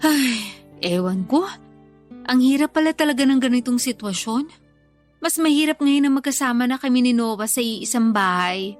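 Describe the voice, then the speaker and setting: A woman's voice: fairly high pitch, expressive, normal speed, soft background music, close to an everyday voice. An actress playing an insecure but devoted young woman in love in a radio drama. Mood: troubled, jealous. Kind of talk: Tagalog radio drama acting.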